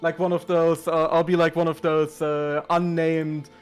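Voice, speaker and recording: A man's voice: a little high, level tone, measured pace, clean tone, soft background music. A man doing casual live fighting-game commentary, laid-back and joking, with frequent swearing. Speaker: esports commentator.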